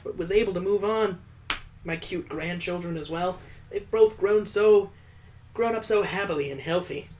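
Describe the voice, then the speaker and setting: A man's voice: high-pitched, natural voice. A man voicing a jovial, sentimental old father-in-law, hearty and earnest, in a visual novel read aloud.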